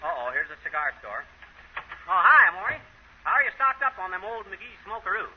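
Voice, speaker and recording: An actor playing a cocky, folksy small-town husband, joking in breezy slang in 1930s radio comedy style. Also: male voice, sits high, big pitch swings, a little fast. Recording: muffled, noisy 1930s radio broadcast.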